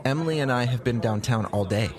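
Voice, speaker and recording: A man's voice: mid-range voice, fast talker, natural voice. An actor playing a brash, sarcastic young man who teases his friends in an audio drama. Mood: mocking. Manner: mocking, swearing, teasing. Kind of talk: casual audio drama dialogue. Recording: busy crowd behind dialogue.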